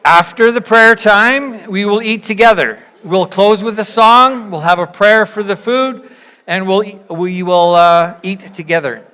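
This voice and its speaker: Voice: medium pitch, unhurried, bright, forceful tone, clean tone. A church leader addressing a congregation with instructions and reflection in an encouraging, invitational, explanatory way. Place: large reverberant room, church gathering. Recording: reverberant hall sound.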